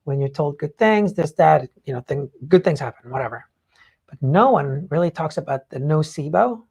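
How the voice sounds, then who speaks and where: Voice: mid-range voice, animated, moderate pace, gravelly, darkish tone, slightly rough. A podcast host talking casually and emphatically in a rambling way, swearing freely while explaining ideas. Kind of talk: casual talk explaining book takeaways.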